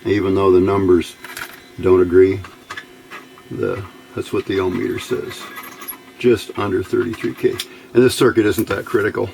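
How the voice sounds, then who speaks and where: A man's voice: low-pitched, little pitch movement, normal speed, creaky, long pauses, darkish tone, slight background noise, poor audio. A man giving an informal hands-on electronics tutorial, thinking aloud as he works. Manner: unscripted, thinking aloud while working. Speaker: electronics hobbyist instructor.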